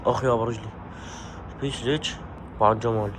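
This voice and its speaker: A man's voice: mid-range voice, steady tone, fast talker. A man, a husband on a family vlog who teases and playfully nags. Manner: teasing, playfully nags and urges. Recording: noisy home recording.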